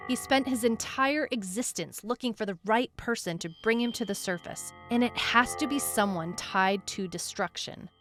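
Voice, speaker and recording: A woman playing a brooding detective who narrates a supernatural case in a measured, deliberate way, as in a scripted audio drama. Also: fairly high pitch. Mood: intrigued. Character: brooding female detective recounting a supernatural missing-person case. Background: music.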